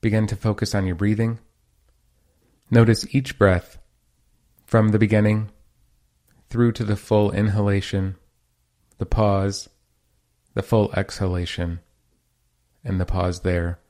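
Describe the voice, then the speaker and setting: A man leading a guided meditation as host, giving gentle, measured instructions with pauses between phrases. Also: fairly low pitch, level tone.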